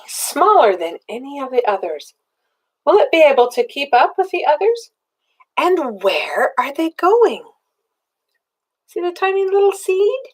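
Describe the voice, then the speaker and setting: An older woman reads a children's storybook aloud in a high voice that rises and falls widely. She takes her time over the words, like a grandmother with a small listener. Her delivery is expressive and child-directed, with simple questions woven into the reading.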